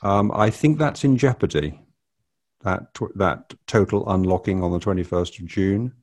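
A man's voice: sits low, moderate pace. An older man hosting a casual family podcast, rambling and hesitant with many fillers. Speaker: podcast host.

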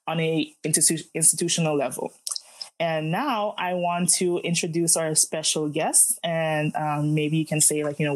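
A woman's voice: low-pitched, darkish tone. A young woman from Aruba hosting a casual student podcast, chatty and friendly with many fillers. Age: young adult. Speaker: podcast host.

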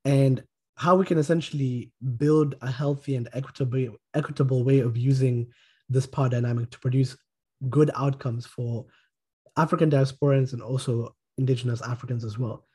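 A man hosting a podcast panel and calmly introducing his guests one by one. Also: medium pitch, husky. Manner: measured, introduces guests politely. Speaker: podcast host.

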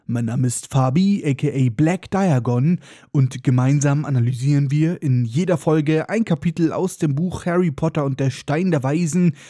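A man's voice: a little high, moderate pace, warm tone, dry recording, clean studio sound. A man hosting a comedic book-recap podcast, sarcastic and irreverent, his nose stuffed up from a cold. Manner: sarcastic, irreverent, mocking asides. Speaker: podcast host.

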